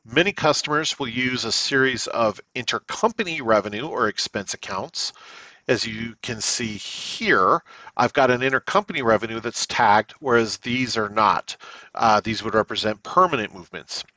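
A man giving an unscripted, explanatory software walkthrough in a conversational way, often checking in with the listener. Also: medium pitch, normal speed, no room sound. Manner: conversational, checks in with "okay?" and "all right?". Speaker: software tutorial presenter.

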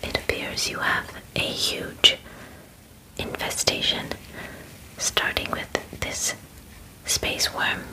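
A woman in her twenties doing a softly whispered ASMR roleplay as a calm, methodical alien examiner, soothing and attentive, close to the microphone. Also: rough recording. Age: 20s. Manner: soft, unhurried, soothing, close personal attention. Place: small indoor bedroom studio.

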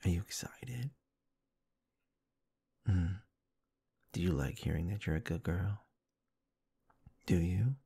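A man doing intimate roleplay ASMR, murmuring softly and affectionately in a soothing, breathy way close to the microphone. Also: low voice, slow, pauses often, no echo. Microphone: close-up microphone, dry.

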